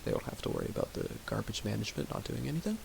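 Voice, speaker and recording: A young man talking quietly in a raspy voice, rambling with fillers as he thinks aloud while making music. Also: on the low side, huge pitch swings, very fast. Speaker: music producer streaming. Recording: home recording with audible hiss.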